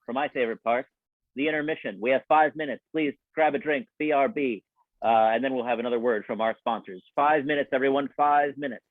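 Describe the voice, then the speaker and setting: A man's voice: medium pitch, a little fast, dry recording. A man announcing as host of a live online radio show, folksy and showman-like, repeating phrases for emphasis. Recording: phone-like, thin narrow-band sound.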